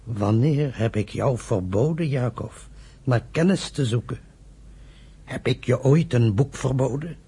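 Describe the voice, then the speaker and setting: An actor playing a stern, wounded father of grown sons in a radio play, wryly reproachful in a husky, gravelly voice. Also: medium pitch, measured pace, dark tone. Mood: hurt, reproachful.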